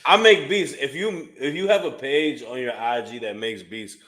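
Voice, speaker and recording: A man's voice: mid-range voice, moderate pace, a crowd in the background. A man co-hosting a casual podcast, slangy and loose, full of likes, dudes and bros. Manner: slangy, says like and bro a lot. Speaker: podcast co-host. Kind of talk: casual chat.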